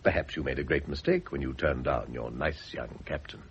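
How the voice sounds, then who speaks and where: Very deep-voiced, urbane, teasing gentleman. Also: moderate pace. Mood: wry, teasing. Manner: flippant, pompous, teasing. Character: urbane, teasing wealthy gentleman art lover, a man. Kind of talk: radio drama acting. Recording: noisy, muffled vintage radio recording.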